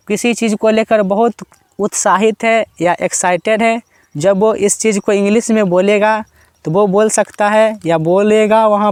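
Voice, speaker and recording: High, clear-voiced Indian man teaching English. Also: no room sound. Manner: slow, drawn-out model sentences repeated twice for practice. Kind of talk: Hindi-language spoken English lesson.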